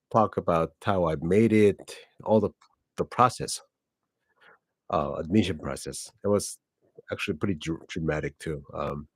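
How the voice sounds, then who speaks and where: A man's voice: low voice, darkish tone, no echo. A man in his forties from South Korea, a native Korean speaker with a Korean accent, talking casually in a rambling way with many fillers and self-corrections. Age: 40s. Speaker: channel host. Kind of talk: casual personal vlog monologue.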